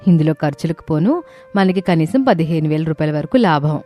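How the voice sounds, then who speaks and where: A woman's voice: deep voice, expressive, brisk, dark tone, faint music behind. A woman playing a dutiful young daughter-in-law in a moral story, earnest and firm. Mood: encouraging.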